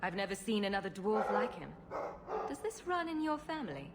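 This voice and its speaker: Woman acting a wry female rogue, medium pitch, dry, confident game dialogue, noisy ambience behind. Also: very animated, fairly quick, natural voice. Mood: amused. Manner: dry, matter-of-fact, wry.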